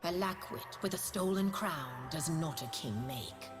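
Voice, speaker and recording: A woman's voice: deep voice, unhurried. A woman voicing an imperious ice queen sorceress for a video game, cold, scornful and formal in her speech. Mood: cold disdain. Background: music.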